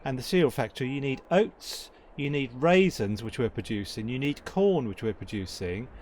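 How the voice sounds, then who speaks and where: A man with a croaky voice casually commentating a farming game, rambling and correcting himself as he plays. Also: mid-range voice, lively intonation, moderate pace, a little mellow, a little hiss or hum. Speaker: gaming channel host.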